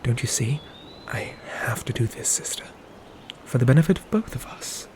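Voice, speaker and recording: A man playing a dutiful, ambitious knight and protective brother in a scripted medieval fantasy audio roleplay, with measured, formal phrasing. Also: medium pitch, animated, a little mellow, whispered, lightly acted. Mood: earnest.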